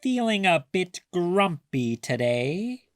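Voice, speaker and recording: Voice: mid-range voice, very animated, slow, no room sound, studio quality, pitched above the performer's own voice. A reader voicing a playful, teasing grandmother in a children's storybook, with a mock-serious, coaxing manner. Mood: gently teasing.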